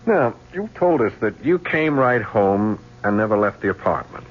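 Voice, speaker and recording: A man playing a dry, probing police lieutenant with measured questioning in a 1970s radio drama. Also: on the low side, expressive, husky. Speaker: actor. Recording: dull 1970s radio, faint noise.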